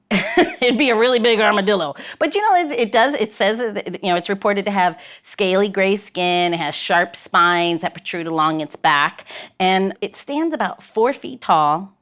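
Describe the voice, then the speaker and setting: Woman, podcast host, average pitch, casual storytelling, bantering, playful delivery. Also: normal speed, a bit bright.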